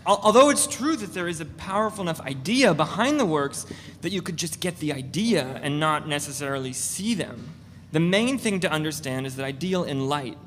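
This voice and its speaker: A woman voicing an eager, oblivious male artist making a stammering, self-promoting pitch in a literary reading. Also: expressive, normal speed. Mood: earnest, pretentious. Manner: pushy, earnest, stammering sales pitch. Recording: echoey hall, noticeable noise.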